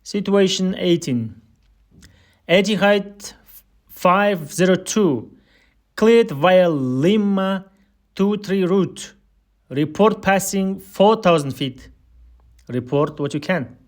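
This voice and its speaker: A man reading a scripted aviation listening drill as an instructor, giving air traffic control phrases with measured, deliberate enunciation. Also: high-pitched, lively intonation.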